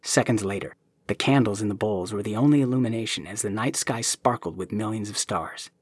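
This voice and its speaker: A man reading a novel aloud in an even storytelling manner, his voice husky and breathy. The sound is close and dry, with no room around it. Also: lowish voice, a little fast, no echo. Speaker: narrator. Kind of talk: audiobook narration.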